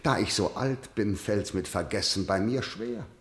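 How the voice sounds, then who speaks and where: A man's voice: on the low side, a little slow, close to an everyday voice. An actor playing an aging, domineering king in a verse radio play, commanding and scornful. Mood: pleading. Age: older. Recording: roomy studio echo.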